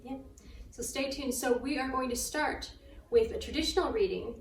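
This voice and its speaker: A woman's voice: sits high, moderate pace. A woman co-hosting and introducing an event. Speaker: co-host, publisher. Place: indoors in a bookshop. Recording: echoey, distant mic, faint noise.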